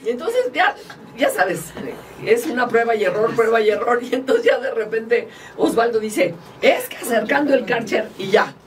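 A woman's voice: a little high, expressive, normal speed, far from the mic, slight background noise. A woman from Mexico, a guest politician, chatting casually and animatedly in an interview, often laughing as she tells stories. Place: small studio, indoors. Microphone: table mic, far from speaker.

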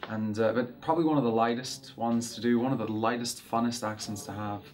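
A young man talks about his acting work in a relaxed studio interview. He sounds eager and often stops to restart his sentences. His voice is picked up by a lapel mic in a small studio. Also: medium pitch, brisk, a little hiss or hum. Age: young adult. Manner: eager, with frequent fillers and restarts. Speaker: actor guest. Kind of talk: casual interview talk. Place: small studio set with curtains.

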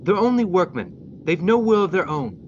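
A man's voice: sits high, sing-song, normal speed. A man acting a detached, clinical robot scientist in a radio drama, matter-of-fact and emphatic. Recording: noisy, dull live drama recording.

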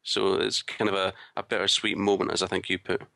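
Deep, bright-voiced man chatting. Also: flat delivery, a little fast, creaky, no echo, studio quality. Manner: wry, joking. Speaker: podcast co-host. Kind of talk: casual podcast chat.

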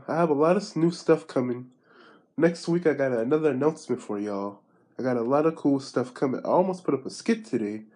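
A man's voice: mid-range voice, mellow. A young man hosting his show while sick, with a sore throat and stuffy nose, stammering and repeating himself, recorded on a phone at arm's length in a small bedroom. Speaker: host. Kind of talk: casual vlog outro.

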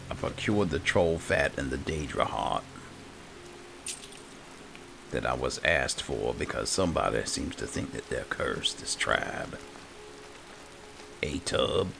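A man's voice: rumbling low, creaky, noisy background. A man giving casual roleplay commentary as a gaming video host, close on the microphone.

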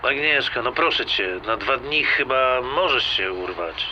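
A man's voice: mid-range voice, lively intonation, unhurried, pushed and bright. An actor playing a coaxing man on a phone call in an audio drama, persuasive and familiar. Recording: dull lo-fi phone sound, street noise.

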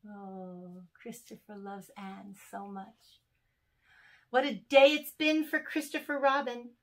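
A woman in her sixties reading children's poems aloud as a storyteller, with deliberate, animated phrasing and dramatic pauses. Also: medium pitch. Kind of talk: expressive children's poetry reading. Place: small furnished room indoors.

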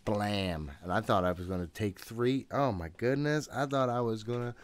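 A man's voice: fairly low pitch, normal speed. A man in his thirties giving casual, jittery, profane gameplay commentary, often talking to himself. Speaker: gaming host. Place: room at home.

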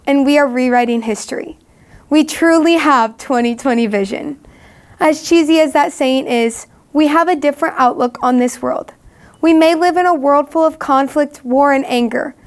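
A teenage young woman from the United States giving an upbeat, heartfelt student commencement speech, recorded in a studio in front of a curtain. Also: high voice. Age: teens. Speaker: student graduation speaker.

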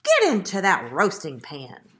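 A hungry, blustering male fox in a children's storybook, voiced by a woman reader. He is gullible and emphatic, growling and easily exasperated, with a forceful edge and big swoops in pitch. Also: wildly swooping pitch, unhurried, exaggerated voice. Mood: bossy. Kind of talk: children's storybook read-aloud.

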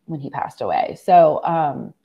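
A middle-aged American woman, hoarse from laryngitis, telling a personal story as a guest in a casual video-call interview. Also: low voice, animated, a little slow, dark tone, highs cut off. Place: room at home, on a video call.

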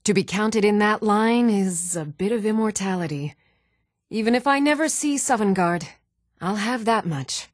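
A woman's voice: mid-range voice, lively intonation, measured pace, no echo. Young female warrior, earnest, spirited, proud, fantasy game dialogue, very dry studio sound. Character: eager young warrior woman, proud of her band.

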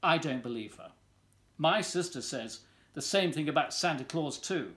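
Man in his sixties, slightly high voice, lively intonation, children's storybook reading, expressive and deliberate delivery, home living room. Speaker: volunteer story reader.

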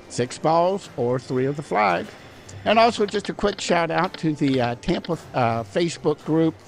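An older man with a hoarse, raspy voice, chatting casually to viewers while playing slots in a casino. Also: a little high. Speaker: slot machine vlogger. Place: busy casino floor. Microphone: handheld microphone in front of him. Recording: casino slot machine music behind. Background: slot machine music and sounds.

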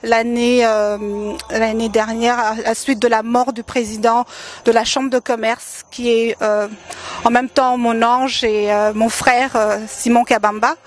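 Hesitant woman with a slightly high voice. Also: little pitch movement, unhurried. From Democratic Republic of the Congo. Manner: hesitant, many fillers, warm laughs between phrases. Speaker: interview guest, event organizer. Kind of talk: casual interview answers. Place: decorated holiday light display, indoors. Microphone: handheld mic held by interviewer.

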